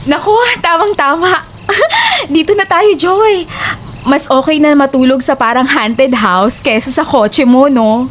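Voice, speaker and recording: A bold, mocking young woman played by an actress in a horror audio drama. Her very high voice is excitable and swoops widely as she pushes and teases, with faint noise behind. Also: unhurried. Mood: eager, pushy. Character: bold, teasing young woman, pushy best friend.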